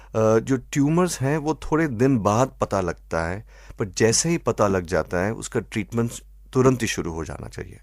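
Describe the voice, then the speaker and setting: A man with an Indian accent answering as an expert doctor in an interview, measured and methodical, mixing in English phrases. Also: on the low side, animated, no echo, clean studio sound. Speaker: eye doctor, expert guest.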